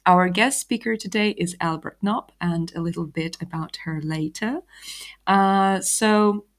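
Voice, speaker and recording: An upbeat woman hosts a live online session with a chatty, friendly delivery. Her voice sits a little low, and she sprinkles her talk with fillers and laughter. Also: vocal fry. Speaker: host. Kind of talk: live webinar hosting.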